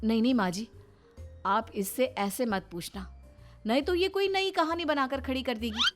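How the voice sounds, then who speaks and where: A woman as a earnest, high-voiced elder sister-in-law. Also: moderate pace, over music, natural voice. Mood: scheming. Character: elder sister-in-law, dutiful, shrewd young housewife.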